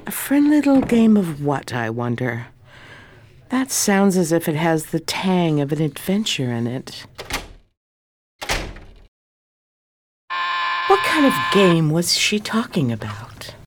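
A woman acts a polite, adventurous widow in a 1920s-set radio play. Her voice sits fairly low and moves expressively, with slight noise behind it. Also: sing-song, a little slow. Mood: musing, intrigued.

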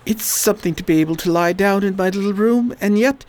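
A woman voicing a weary, Oxford-educated man fighting a plague, speaking low and wry in a radio drama. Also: a little fast, a little mellow, lightly acted. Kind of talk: amateur radio drama acting.

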